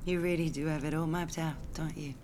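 An actress playing a young fiancée, wounded and sardonic in an understated audio drama. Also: female voice, low voice, fairly even intonation, brisk, darkish tone, a little hiss or hum, close to an everyday voice. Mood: quietly doubtful. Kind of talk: naturalistic audio drama acting and intimate narration.

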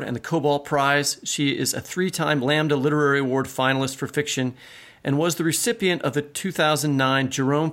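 A man's voice: medium pitch, fairly bright tone. A man co-hosting a podcast, reading a scripted introduction. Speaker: podcast co-host.

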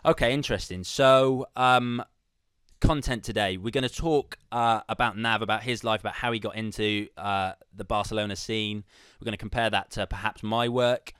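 A man with a fairly low, clean voice hosts a sports podcast. He speaks casually and chummily, as if to a mate. The sound is dry, with very little room around it. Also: fairly even intonation, normal speed, a bit bright, clear, resonant tone, no room sound. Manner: chummy, informal. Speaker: podcast host.

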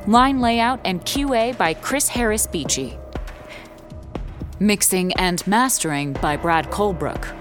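A woman announcer reading scripted credits in a measured, formal way. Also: mid-range voice, animated. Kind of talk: scripted narration. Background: music.

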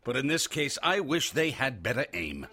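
Radio drama: a probing older interviewer, animated delivery, light music under. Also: male voice, medium pitch, normal speed, slightly rough, heavily acted. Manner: probing, skeptical questions. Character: older male listener questioning the doctor. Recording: radio play with soft music.

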